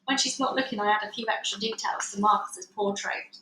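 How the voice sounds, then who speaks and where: Female children's book reader, bright and animated delivery, echoey room with faint noise. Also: mid-range voice, a little fast. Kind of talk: animated read-aloud narration.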